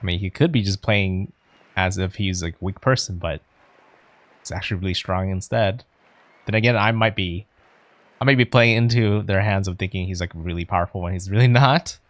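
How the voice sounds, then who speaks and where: A man's voice: deep voice, a little fast, dry recording. A man doing casual let's play commentary, thinking aloud in a hesitant, musing way. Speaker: game streamer.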